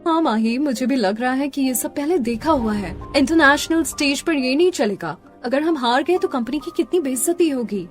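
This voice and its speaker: Woman voicing a high, proud young sister-in-law. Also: animated, normal speed, hardly any pauses. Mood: anxious, strained. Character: proud young sister-in-law designer, haughty, later anxious. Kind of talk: melodramatic Hindi cartoon story dialogue. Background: music.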